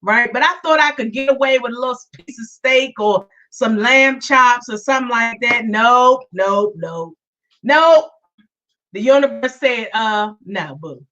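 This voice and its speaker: Woman, live-stream host, voice worn from illness, bright tone, slightly distant muffled recording. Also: on the high side, unhurried. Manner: chatty, repeats herself, greets viewers by name. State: voice still weak from illness. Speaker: podcast host. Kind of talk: casual live-stream chat. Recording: dull lo-fi sound, a bit far.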